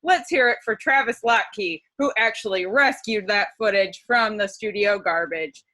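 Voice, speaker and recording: A woman playing an excitable master of ceremonies at a fan convention, announcing like a showman in an amateur table read. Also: mid-range voice, unhurried. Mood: proudly announcing. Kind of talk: amateur table read of a film comedy script.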